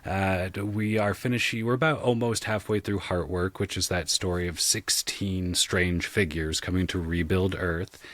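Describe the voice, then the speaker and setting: A man with a very deep voice speaks in a casual interview style. He is thoughtful and halting, choosing words as he goes and staying frank and informal. The sound is dry and close, with no room around it. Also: fairly even intonation, a bit bright, no room sound. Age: millennial, 30s or so. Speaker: guest, audio drama creator and game designer. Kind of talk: casual podcast interview talk.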